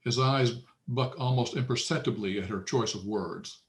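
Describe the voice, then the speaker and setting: A man's voice: medium pitch, normal speed. A man narrating stage directions matter-of-factly at a screenplay table read.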